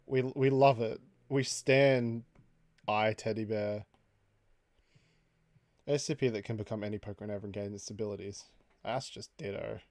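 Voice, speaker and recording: A man casually chatting on a livestream while he draws, reading out chat messages, laughing often and jumping up in pitch for jokes. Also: fairly even intonation, normal speed, pauses often, darkish tone, no room sound.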